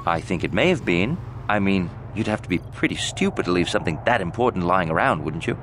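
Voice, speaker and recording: An actor playing a cocky gambler giving testimony in a video game, talking in a casual, matter-of-fact way. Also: male voice, low-pitched, expressive, brisk, very noisy. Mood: casual, sure. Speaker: trial witness. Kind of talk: conversational game dialogue.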